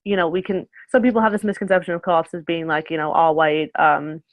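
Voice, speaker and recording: A woman's voice: sits low, little pitch movement, fairly quick, no echo. A woman in her twenties, a guest talking casually on a video call, rambling with many fillers and self-corrections. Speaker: guest speaker. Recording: tinny phone-like call audio.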